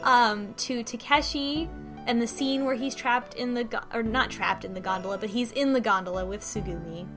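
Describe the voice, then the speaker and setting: A woman co-hosting a podcast, giving a casual, rambling recap with animated retellings and music behind her. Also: a little high, normal speed. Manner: animated retelling with mock quotes. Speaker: podcast co-host recapping a game.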